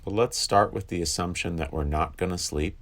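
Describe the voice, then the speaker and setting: A man with a remarkably low, deep voice leads a guided meditation for sleeplessness. He speaks calmly and instructively. He leaves long silent pauses between phrases.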